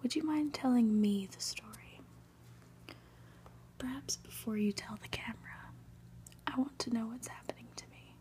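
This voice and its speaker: A woman voicing an anxious, bewildered ordinary man from a storybook in a breathy, hesitant whisper. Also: fairly high pitch, moderate pace, pauses often, warm tone, natural voice. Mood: uneasy. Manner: hesitant, plaintive questioning.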